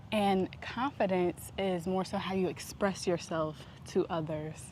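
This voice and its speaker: A woman talking in a casual, reflective vlog style, earnest and introspective, with deliberate pauses. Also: fairly low pitch. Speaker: vlog host. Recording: clear steady background noise.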